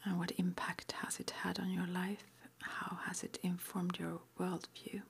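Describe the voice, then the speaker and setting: A woman whispering an ASMR roleplay interview, playing a witch school interviewer with gentle, reassuring, hesitant phrasing. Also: lowish voice, flat delivery, measured pace, gravelly, slight background noise.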